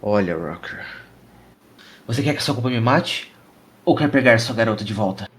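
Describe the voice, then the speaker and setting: A man's voice: on the low side, expressive, moderate pace, close to an everyday voice. A man with a Brazilian accent playing a streetwise, cynical media reporter in a cyberpunk audio drama, blunt and slangy. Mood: irritated, blunt.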